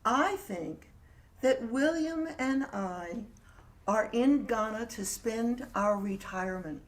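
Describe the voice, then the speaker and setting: A woman acts out a retired older friend in a stage reading, firm and encouraging. Her delivery is extremely slow and deliberate, with a slightly high pitch. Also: lively intonation. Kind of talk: dramatic reading.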